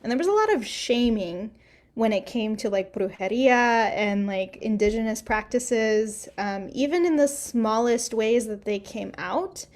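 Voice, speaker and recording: A woman's voice: a little high, lively intonation, a little slow. A young woman co-hosting a podcast, speaking reflectively with frequent "like" fillers. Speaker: podcast co-host. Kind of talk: casual discussion.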